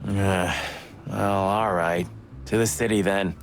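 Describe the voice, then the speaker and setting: A man's voice: low voice, steady tone, slow, slight background noise. An actor playing a playful, joking male bird cop in a video game. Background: game music.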